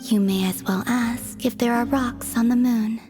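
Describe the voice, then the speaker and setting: A woman plays an aloof young moon goddess from a video game. Her voice is fairly high, soft and airy, and she speaks very slowly with grave, formal detachment. Music plays behind her. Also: steady tone, natural voice. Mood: detached, matter-of-fact. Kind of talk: video game cutscene voice acting.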